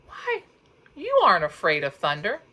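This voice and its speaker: A woman voicing a calm, folksy elderly grandmother in a storybook read-aloud, soft and reassuring. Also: lowish voice, normal speed, heavily acted. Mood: warm, encouraging.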